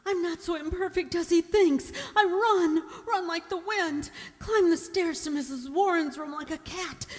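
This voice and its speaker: An actress playing a frightened young woman thinking aloud, breathy and anxious, in a melodramatic radio play. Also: very high voice, measured pace, faint music behind. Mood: panicked. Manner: breathy, anxious, dramatic, often whispering. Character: frightened mute young woman voicing her inner thoughts. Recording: echoey hall, long reverb.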